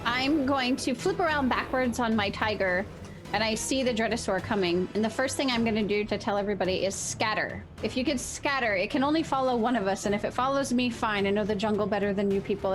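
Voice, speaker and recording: A woman's voice: medium pitch, quick pace. A woman playing a tabletop role-playing game, describing her character's moves. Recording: loud music under the voice.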